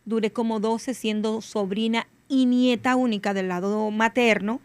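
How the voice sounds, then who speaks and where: Lady podcast host, curious and playful, bit high-pitched, dry close sound. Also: steady tone, unhurried, clear, resonant tone, no echo. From Cibao region, Dominican Republic. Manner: chatty, inquisitive, playful. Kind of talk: casual interview chat.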